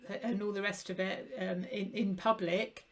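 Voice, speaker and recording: A woman's voice: mid-range voice, little pitch movement, normal speed. Woman, interview host, supportive, empathetic, conversational. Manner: supportive, with frequent fillers and affirmations.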